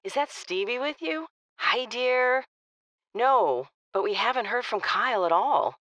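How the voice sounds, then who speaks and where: A woman's voice: on the high side, animated, measured pace, fairly bright tone, no echo. An actress playing a weary, worried middle-aged mother of a missing grown son, hesitant and sighing in an audio drama. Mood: worried, sad. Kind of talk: audio drama phone conversation.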